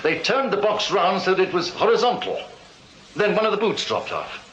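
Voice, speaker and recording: Casting: vain music-hall magician, higher-pitched, wildly varied delivery, blustering and aggrieved, period radio drama. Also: male voice, huge pitch swings, moderate pace, a bit bright, full character voice. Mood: distressed, indignant. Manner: haughty, blustering, self-justifying. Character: indignant, vain male Victorian stage magician, self-pitying. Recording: roomy, muffled, faintly noisy radio recording.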